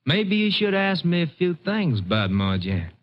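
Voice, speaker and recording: An actor playing a menacing, greedy, resentful farm brother with an insolent rural Texan drawl in an old radio western. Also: male voice, fairly high pitch, big pitch swings, moderate pace. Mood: needling. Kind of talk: vintage radio western drama acting. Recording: muffled vintage radio recording.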